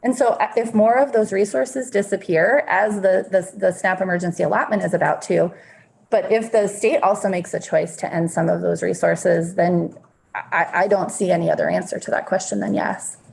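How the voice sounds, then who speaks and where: A woman's voice: lowish voice, fairly even intonation, moderate pace, creaky, a little mellow, a little hiss or hum. A woman testifying before a legislative committee, answering members' questions conversationally.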